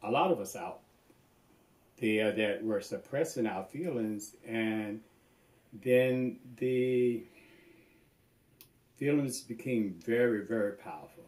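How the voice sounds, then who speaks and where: A man in his seventies from North Carolina, slowly and haltingly recalling his past in a documentary interview. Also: lowish voice, a little mellow. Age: 70s. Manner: slow, halting recollection. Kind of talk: reflective personal storytelling. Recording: echoey room.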